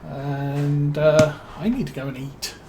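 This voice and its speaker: A man with a British accent giving relaxed let's-play commentary as a streamer, musing and thinking aloud with plenty of fillers. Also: a little high, takes it slowly, background noise. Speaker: game streamer.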